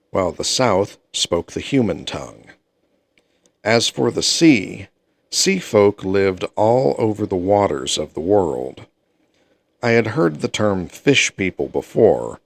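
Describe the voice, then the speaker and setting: A man with a very deep voice reads a fantasy novel aloud. He takes it slowly and steadily, keeping an even first-person tone from sentence to sentence. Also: dry recording. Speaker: audiobook reader.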